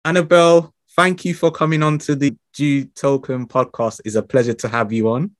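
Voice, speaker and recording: A man's voice: medium pitch, fairly even intonation, moderate pace, no room sound, studio quality, faint music behind. A man hosting a podcast, talking in a loose, informal way with slang. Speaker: podcast host. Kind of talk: casual interview.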